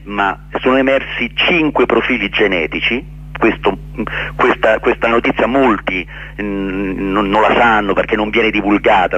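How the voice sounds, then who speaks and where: A low-pitched man gives earnest interview answers. He halts often and repeats himself for emphasis. The sound is muffled, with a faint hum of noise. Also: fairly bright tone. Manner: earnest, halting, emphatic repetitions. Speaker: interview guest, actor and director. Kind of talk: radio interview answers, explaining his play.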